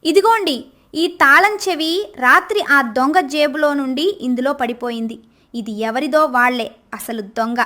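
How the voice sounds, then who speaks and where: A woman voicing a clever, bold young village wife in an animated story. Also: sits high, normal speed. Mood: confident. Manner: confident, clear explaining.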